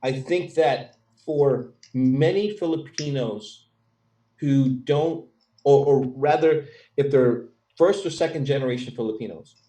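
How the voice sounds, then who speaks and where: A Filipino American man from the United States, a guest telling relaxed stories in a casual interview. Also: mid-range voice, a little slow. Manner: easygoing storyteller. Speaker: guest, stunt performer. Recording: echoey room, distant from mic.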